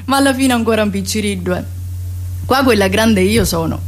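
An actress playing a spirited young country girl in a radio play, chatty and expressive, with a Sicilian accent. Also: mid-range voice, fairly quick, slight background noise, lightly acted. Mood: chatty, animated. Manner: expressive, chatty, dialect-peppered. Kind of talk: radio theatre monologue, colloquial and confiding.

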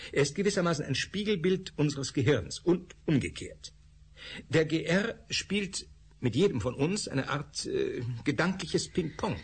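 A man's voice: on the high side, slight background noise. An actor playing a male scientist who lectures with hesitant fillers in a radio drama. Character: male scientist explaining thought particles.

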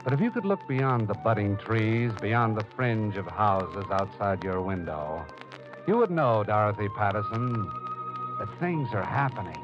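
An actor playing an earnest radio drama narrator, speaking gravely and deliberately in a theatrical style. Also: male voice, fairly low pitch, expressive. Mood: solemn, stirring. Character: earnest dramatic male narrator addressing the heroine as you. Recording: dull old radio recording, music bed.

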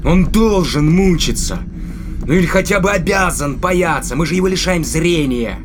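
Man acting a cruel, high-pitched male spaceship captain. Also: lively intonation, normal speed, music in the background, natural voice. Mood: frustrated. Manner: commanding, taunting, sneering. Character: cruel, obsessive male spaceship captain tormenting a captive ape. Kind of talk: audio drama acting.

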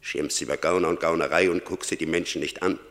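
Death himself in an old radio play, super low growly voice, preachy and scornful. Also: male voice, steady tone, fairly bright tone. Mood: accusing, scornful. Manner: declamatory, emphatic, slow rhetorical repetition. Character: Death personified, stern mocking ancient tempter and judge. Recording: vintage radio drama, long cavernous reverb.

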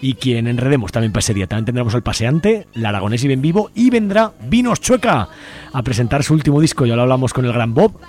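A man with a Spanish accent presents a radio show informally. He chats at a rapid clip in colloquial language, with a voice of ordinary pitch for a man. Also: very animated, a little mellow. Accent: Spain. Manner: chatty, colloquial.

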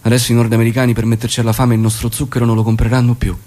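An actor playing an earnest, reserved guerrilla leader turned minister, speaking in a measured, didactic stage manner with music behind him. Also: male voice, mid-range voice, monotone, a little mellow, lightly acted. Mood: earnest, businesslike. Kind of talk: Italian stage drama acting.

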